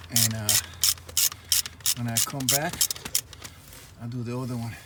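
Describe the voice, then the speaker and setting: A man's voice: mid-range voice, steady tone, unhurried, heavy background noise. A man talking informally, half to himself, as he films a casual hands-on repair vlog. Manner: informal, muttering to himself while working. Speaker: vlogger, tradesman doing a DIY truck repair. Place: at a vehicle being repaired.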